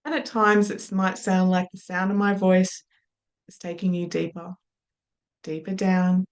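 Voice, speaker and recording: A middle-aged woman, a hypnosis trainer, guiding a listener into trance in a slow, soft, trailing voice with repeated phrases. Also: on the low side, little pitch movement. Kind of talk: guided hypnotic induction.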